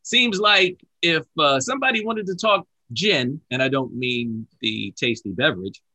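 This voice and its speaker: Male podcast host, bright lively voice, joking with fillers, dry sound. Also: a little high, a little slow, no room sound, rough recording. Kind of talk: casual podcast interviewing.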